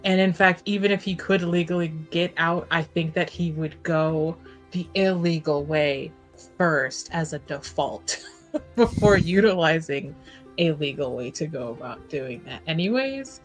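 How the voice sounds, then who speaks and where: A woman playing a tabletop roleplaying game, casually musing out loud over what her character should do. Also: low-pitched, a little slow, soft background music.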